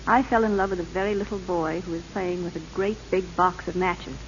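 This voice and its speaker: An actress playing a gambler's worried, reproachful sweetheart in an old radio drama, pleading and sardonic. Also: female voice, fairly low pitch, fairly even intonation, a little fast, lightly acted. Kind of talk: 1930s-40s radio drama acting. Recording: muffled old radio, faint hiss.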